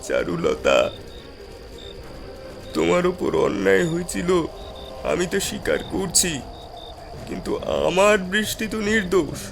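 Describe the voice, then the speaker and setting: A man's voice: fairly high pitch, vocal fry. A man voicing an earnest young man desperately pleading with a ghost to free his beloved.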